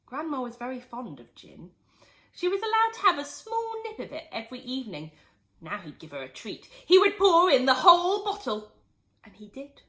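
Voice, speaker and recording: A woman teacher reads a children's storybook aloud with great animation. Her fairly high voice swoops up and down, leaning into the funny parts of the story. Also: moderate pace. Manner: expressive, animated reading. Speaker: teacher reading a children's book.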